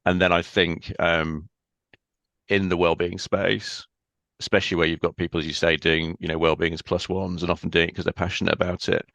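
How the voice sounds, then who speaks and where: A man speaking as a podcast guest in a casual interview, thoughtful and measured, often pausing on "um". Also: sits low, flat delivery, gravelly, fairly bright tone, dry recording. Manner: thoughtful, measured, with frequent ums.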